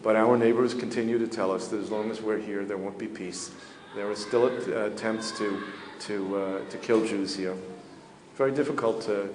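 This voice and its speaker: A low-voiced man in his fifties answers reporters' questions as a community spokesman. He talks in a measured, matter-of-fact way, often pausing to find his words. The recording has a long, echoey hall reverb with faint ambient noise behind him. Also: darkish tone. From United States. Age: 50s. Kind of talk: press interview answers. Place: indoor hall.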